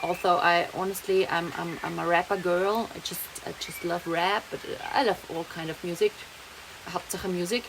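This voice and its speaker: Brief: young German-accented woman, lower voice, rambling casual vlog chat, rain noise behind. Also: steady tone, moderate pace, creaky. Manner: rambling, chatty, laughs often, slips German words in. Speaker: vlogger. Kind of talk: casual monologue to camera. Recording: noisy background, rain heard.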